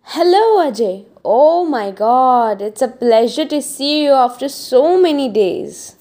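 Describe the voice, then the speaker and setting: A woman playing a gentle, hopeful wife awaiting her husband in an amateur radio drama, polite and hesitant. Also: high-pitched, expressive, slow. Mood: pleasantly polite. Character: Shaila Rathore, gentle, hopeful waiting wife, in denial.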